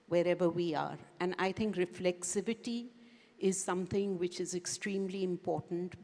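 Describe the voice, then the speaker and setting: Female panelist, lower voice, reflective and hesitant, hall reverb. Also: a little mellow. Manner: thoughtful, many pauses and fillers. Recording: echoey conference hall.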